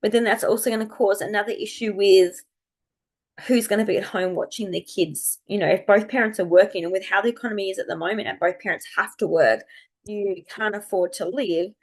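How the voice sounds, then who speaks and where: A woman, slightly croaky from a cold, chatting casually and rambling as she thinks aloud to her viewers. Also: medium pitch, fairly even intonation, a little fast. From Australia. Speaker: astrology video host. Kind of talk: casual unscripted chat to viewers.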